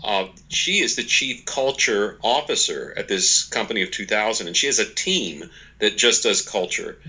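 A man in his forties with a fairly low, bright and forceful voice. He talks as an interview guest, explaining his points in a folksy, emphatic way and slipping into little quoted asides. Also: lively intonation, moderate pace. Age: 40s. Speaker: podcast guest, author and organization leader. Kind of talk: conversational interview, explaining workplace ideas.

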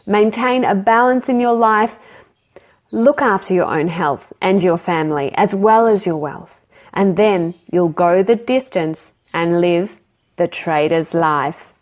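A woman giving steady, advising words in a short motivational clip. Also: fairly low pitch, slow.

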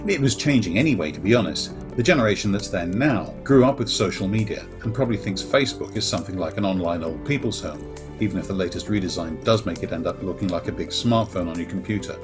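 A man's voice: sits low, lively intonation, fast, over music. A man hosting a technology podcast, introducing the show and his guest with dry, wry asides. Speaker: podcast host. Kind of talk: podcast intro and interview questions.

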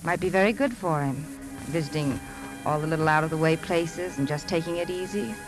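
A woman acting a gentle, anxious widowed stepmother in a 1950s radio drama. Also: deep voice, fairly quick, music in the background, lightly acted, rough recording. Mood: wistful. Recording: 1950s radio broadcast with faint noise.

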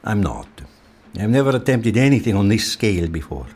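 A man's voice: on the low side, sing-song, brisk, a little mellow, slightly rough, full character voice. An actor playing a centuries-old Venetian man, fatalistic and intense, in an audio drama. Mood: grave, resigned.

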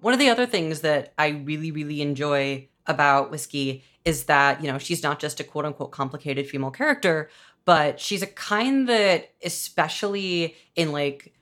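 A woman's voice: low-pitched, moderate pace, fairly bright tone. A woman from the American South hosting a casual podcast chat, earnest and discursive as she thinks aloud. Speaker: podcast host, audio drama showrunner.

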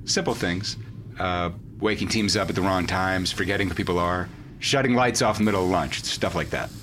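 Casting: blunt sci-fi commander, lowish pitch, practical delivery, noisy industrial setting. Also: male voice, brisk. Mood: wary, skeptical. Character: blunt, gruff male commander of deep-sea base. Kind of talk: sci-fi audio drama acting. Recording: loud rumbling industrial ambience.

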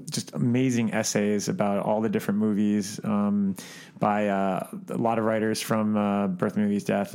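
A man co-hosting a podcast in casual chat, with a halting, rambling way of talking. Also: fairly low pitch, darkish tone. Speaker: podcast co-host. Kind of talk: casual chat about books, films and collectibles.

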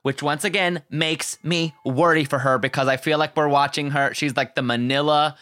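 A young man hosting a podcast, chatting in an animated, opinionated way with frequent restarts. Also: a little high, normal speed, a little hiss or hum. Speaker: podcast host. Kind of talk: casual chat.

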